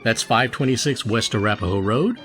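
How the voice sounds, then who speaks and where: A man's voice: medium pitch, brisk, a bit bright, soft background music. A man announcing in old-time radio style, presenting with showy enthusiasm.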